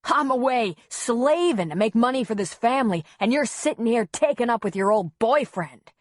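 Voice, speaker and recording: A man's voice: high-pitched, expressive, extremely slow, a little mellow, breathy edge, no echo, clean studio sound, exaggerated voice. A woman narrator voicing a violent, hot-tempered husband who barks and bellows his commands in an audiobook story. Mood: furious.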